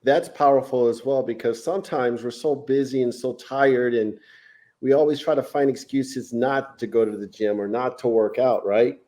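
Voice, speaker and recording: A man with a soft-edged, mellow voice hosts a conversational interview. He sounds enthusiastic and affirming as he puts questions to his guest. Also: medium pitch, steady tone, normal speed. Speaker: podcast host.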